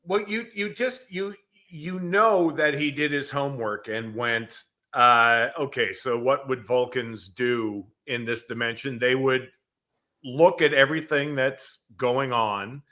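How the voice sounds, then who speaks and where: A man's voice: mid-range voice, slow, sharp tone. A man chatting casually as a podcast guest, speaking emphatically and bluntly. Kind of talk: casual chat.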